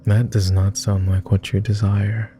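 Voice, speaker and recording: Man acting a low, slow, courtly vampire. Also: level tone, a little hiss or hum, lightly acted. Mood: earnest, wistful. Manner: formal, old-fashioned wording with halting, hushed delivery. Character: clingy lonely male vampire, courtly, gentle, easily flustered. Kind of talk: intimate ASMR roleplay acting.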